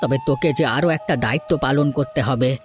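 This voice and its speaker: A man plays a tired old village grandfather in a cartoon folk tale. He is gentle and a little plaintive, with faint music underneath. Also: mid-range voice, fairly quick, natural voice. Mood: weary, gentle. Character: weary, kindly old grandfather who drives an ox cart. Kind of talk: animated folk-tale voice acting.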